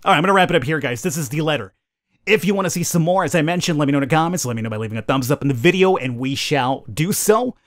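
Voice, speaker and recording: A man's voice: on the high side, animated, rapid-fire. A man hosting a let's play, reading game narration dramatically and adding informal commentary. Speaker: gaming YouTuber, let's play host. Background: game music.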